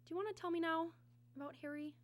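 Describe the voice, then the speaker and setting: A woman's voice: very high voice, steady tone, fast. A woman playing a worried, caring wife in a radio drama, with an anxious, pleading manner. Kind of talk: old-time radio drama acting.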